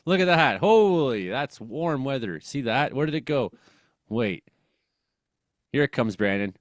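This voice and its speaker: Male, Western Canadian accent, very lively pitch swings, dry close sound, slangy rambling weather commentary, joking host. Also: measured pace, dry recording. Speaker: host of a comedy weather show.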